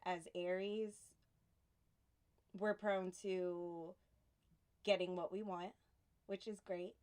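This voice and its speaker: A woman from Houston giving a casual tarot reading, soft and unhurried, pausing often, a little sniffly from allergies. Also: lowish voice, flat delivery. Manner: soft, unhurried, reflective delivery with pauses. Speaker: tarot reader.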